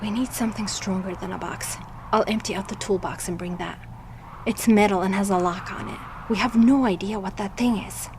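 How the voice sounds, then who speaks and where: A woman's voice: mid-range voice, fairly even intonation, a little fast, background noise, natural voice. An actress playing a cautious, skeptical survivor in an audio drama, wary and blunt in her delivery. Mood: hushed, reluctant.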